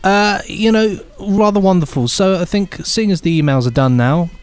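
A man with a fairly high voice co-hosts a podcast. He chats in a whimsical, playful way over faint music. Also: moderate pace, a little mellow. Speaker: podcast co-host. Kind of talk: casual whimsical chat.

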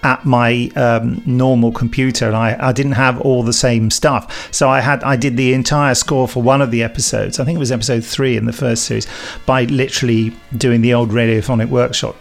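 A man's voice: medium pitch, little pitch movement, a little fast, creaky, hardly any pauses, soft background music. A middle-aged man hosting a podcast in casual chat, reading listener emails with wry, bantering asides. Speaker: podcast host, audio drama director and composer.

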